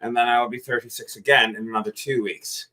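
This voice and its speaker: A man's voice: mid-range voice, fairly even intonation, fast, heavy background noise. A man in his thirties co-hosting a casual drinking livestream, joking and rambling, often trailing off into mumbles. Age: 30s. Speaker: musician co-host of a drinking stream. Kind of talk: casual drunken livestream banter.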